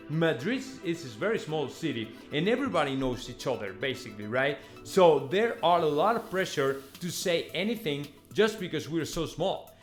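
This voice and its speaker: A man talks casually to his audience as a football host. His delivery is conversational and emphatic, with repeated phrases and checking tags at the ends of thoughts. Light music plays underneath. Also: on the high side. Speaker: football vlogger and fan channel host. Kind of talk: casual news commentary to viewers.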